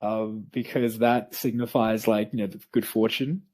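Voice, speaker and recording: A man's voice: on the low side, fairly even intonation, moderate pace, a little mellow. Friendly male interviewer. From Peru, raised in Australia. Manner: friendly, hesitant, many ums and restarts. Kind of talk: casual interview chat.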